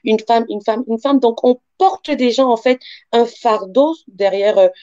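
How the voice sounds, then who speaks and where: A woman's voice: fairly high pitch, unhurried, dark tone, no echo, highs cut off. A woman from France with African roots, speaking as a guest sophrologist in an interview and explaining step by step with examples and rephrasing. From born African, grew up in France. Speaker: guest, sophrologist and life coach.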